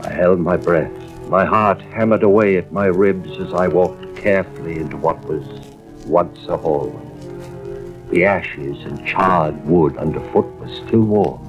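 A man's voice: deep voice, measured pace. An American actor playing an intent, hard-boiled male arson investigator in an old radio drama, with terse delivery. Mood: tense, suspenseful. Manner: terse, suspenseful first-person narration. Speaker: lead actor and first-person narrator. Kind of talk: 1940s-50s radio drama acting. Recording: dull old radio recording, music behind.

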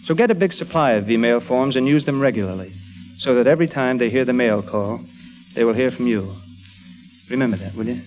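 A man delivering an earnest, measured public appeal in the style of 1940s wartime radio. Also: mid-range voice, a little mellow, highs cut off. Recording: old radio recording, faint music.

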